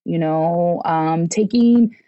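A woman in her twenties, a podcast guest in casual chat, animated and swearing now and then as she acts out what people said. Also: lowish voice, slow pace, dry recording, lo-fi sound. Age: 20s.

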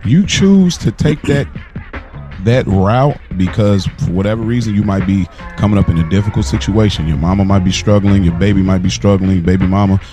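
Animated American man over music. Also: low-pitched, moderate pace. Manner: animated, slangy, repeats phrases for emphasis. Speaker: podcast co-host. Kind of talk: casual hip-hop talk podcast. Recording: music playing underneath.

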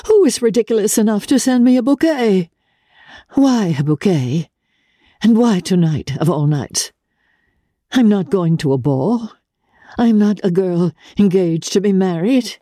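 An imperious aristocratic lady from a classic novel, played by a woman narrator, with a raised, husky voice. She is passionate and theatrical, giving commands and exclamations with grand flair. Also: medium pitch, expressive, unhurried, mellow, no room sound, clean studio sound, pitched above the performer's own voice. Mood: indignant, scornful.

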